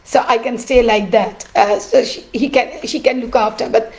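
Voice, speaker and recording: A middle-aged woman, an artist and teacher telling her story in a cracked, rough voice, pausing often with "uh". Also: a little high, fairly even intonation, a little mellow. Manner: halting, with many "uh" pauses. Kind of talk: personal storytelling.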